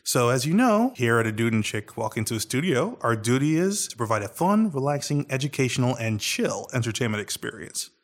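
Animated young man co-hosting a podcast. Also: medium pitch. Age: young adult. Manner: animated and jokey, with quick bursts. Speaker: podcast co-host. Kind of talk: casual podcast chat.

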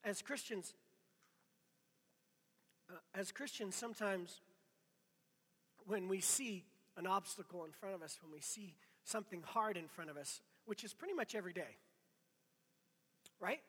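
Hoarse, bright-voiced preacher man. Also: high-pitched, measured pace, long pauses, long reverb tail. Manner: conversational, self-deprecating, many restarts. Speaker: pastor. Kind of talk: casual sermon.

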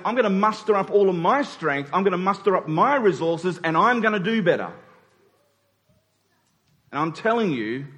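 A man's voice: a little high, fairly bright tone. A man in his forties preaching a sermon, speaking rhetorically with emphatic points and dropped asides. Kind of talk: sermon to a congregation. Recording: long hall reverb.

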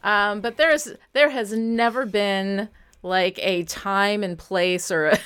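A woman's voice: mid-range voice, slow pace, fairly bright tone, smooth. A woman who podcasts and coaches writers, chatting casually and candidly, with plenty of informal asides. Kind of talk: casual chat.

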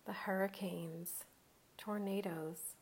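Slow-paced woman guiding a meditation. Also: medium pitch, fairly even intonation, husky. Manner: slow, unhurried, soothing delivery with long pauses. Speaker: meditation guide. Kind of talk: guided meditation narration.

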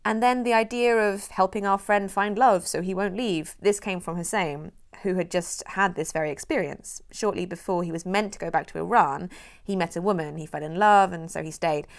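A woman film director who grew up in Europe, calmly explaining how she made her film in an interview. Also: fairly low pitch, normal speed, creaky. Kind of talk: interview answers.

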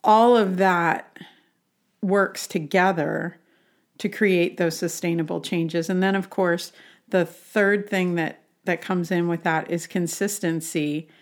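A woman's voice: on the low side, steady tone, slow. A woman talking as a health coach, explaining things conversationally with questions and examples.

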